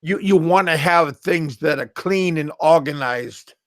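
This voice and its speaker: A middle-aged American man chatting casually on a live stream, halting and repeating his words. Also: fairly high pitch, dry recording, studio quality. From USA. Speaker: livestream host.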